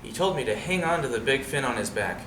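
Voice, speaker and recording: A man reading a storybook aloud to young listeners in an expressive, dramatic way. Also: mid-range voice, fast talker. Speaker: storybook reader. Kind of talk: animated read-aloud story with asides to children. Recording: roomy, distant mic, noisy background.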